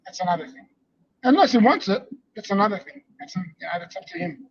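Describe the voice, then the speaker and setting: A man's voice: high-pitched, lively intonation, quick pace, breathy edge. A man chatting on a livestream panel, emphatic and repetitive, swearing freely. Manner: emphatic, repeats phrases, swears. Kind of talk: casual chat. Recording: dull lo-fi call audio.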